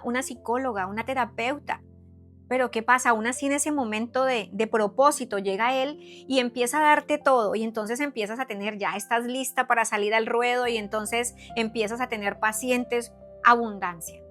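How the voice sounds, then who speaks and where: Colombian lady w a highish voice sharing her faith testimony, emphatic, repeats herself, faint music behind. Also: fairly bright tone. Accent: Colombian, from Cali. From Cali, Colombia. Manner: earnest, emphatic, repeats phrases, rhetorical questions. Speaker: psychotherapist giving faith talk. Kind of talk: personal testimony and devotional talk to followers.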